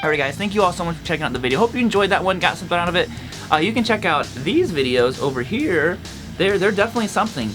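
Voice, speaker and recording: A man's voice: high voice, animated, racing. Congested man teaching guitar licks. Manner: congested, nasal; explains while playing, counts fret numbers. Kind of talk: casual guitar lesson.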